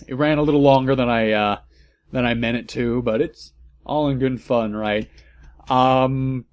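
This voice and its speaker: A man's voice: on the high side, darkish tone. A man giving casual comic commentary as host of a reading series, with a wry, offhand manner.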